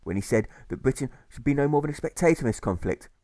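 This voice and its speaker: A male narrator with a mid-pitched voice reads rhyming prose as an audiobook. His phrasing is rhythmic and measured, stressing the rhymes, recorded close and dry. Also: lively intonation, dark tone, no echo. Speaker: author reading own audiobook.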